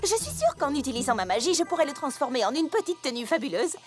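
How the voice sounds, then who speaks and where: High-voiced, expressive polite cartoon pony. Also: female voice, fast talker. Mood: flustered. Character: polite, eager, expressive young female cartoon ponies. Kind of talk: French-language cartoon dub. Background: music.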